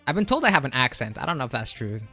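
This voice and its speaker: A young man from Florida hosts a relaxed live stream, answering viewers at an easy pace and restarting his sentences often. Soft music plays under his mid-pitched voice. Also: racing. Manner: laid-back and rambling, reads out chat questions, frequent laughs and self-corrections.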